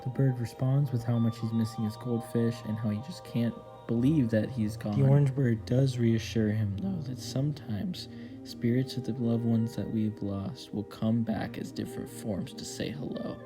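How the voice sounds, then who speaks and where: A man's voice: medium pitch, fairly even intonation, normal speed. A young man hosting a casual video essay as a horror fan, speaking informally and earnestly with some swearing. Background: clear background music.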